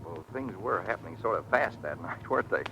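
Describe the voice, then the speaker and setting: An actor playing a proud, touchy young American pilot in an old-time radio drama. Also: male voice, on the low side, animated, brisk, creaky, sharp tone, muffled recording, exaggerated voice. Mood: polite, awkward. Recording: noisy vintage radio recording.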